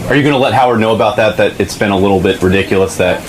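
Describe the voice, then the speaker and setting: A man's voice: fairly low pitch, rapid-fire, over a noisy crowd. An American man working as a radio interviewer, asking questions in a persistent, dryly polite manner. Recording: noisy location with ambient sound. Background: clear background noise.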